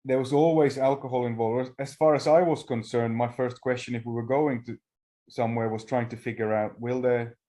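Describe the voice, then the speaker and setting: A man's voice: mid-range voice, steady tone, dark tone. Hesitant man from Finland sharing his story. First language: Swedish. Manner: halting, frequent restarts and fillers. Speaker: speaker at a recovery meeting. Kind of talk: personal testimony talk.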